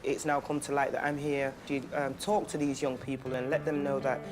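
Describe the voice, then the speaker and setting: A woman's voice: very deep voice, little pitch movement, brisk. Earnest woman over a guitar bed. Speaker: community radio worker. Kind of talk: documentary interview. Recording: music bed underneath.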